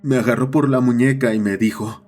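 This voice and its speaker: A man as a measured young horror narrator. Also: medium pitch, fairly even intonation, a little mellow, soft background music, close to an everyday voice. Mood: sombre. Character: schoolboy narrator recounting his own horror encounter. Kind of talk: scripted first-person horror narration.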